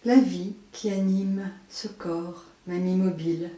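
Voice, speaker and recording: A woman leading a guided yoga nidra meditation as an instructor, in measured short phrases with long pauses. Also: on the low side, fairly even intonation, dark tone. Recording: roomy echo, distant microphone.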